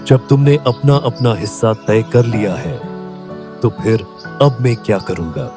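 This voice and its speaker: A man playing a worried older farmer and father in a Hindi cartoon story, speaking earnestly and in measured phrases. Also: mid-range voice, music in the background, natural voice. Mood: doubtful. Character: worried old farmer, father of three lazy sons.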